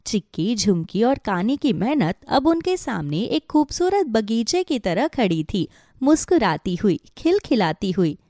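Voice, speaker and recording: Animated female children's story narrator. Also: fairly high pitch, measured pace, dry recording, clean studio sound. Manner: expressive storytelling.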